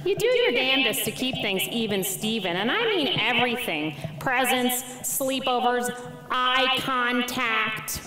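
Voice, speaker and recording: A woman's voice: a little high, animated, measured pace, bright, forceful tone, voice pushed up. A woman voicing a blunt, no-nonsense older grandmother in a live memoir reading. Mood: emphatic. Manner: brassy, no-nonsense. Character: blunt, emphatic older grandmother giving advice. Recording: echoey theatre sound with audience noise.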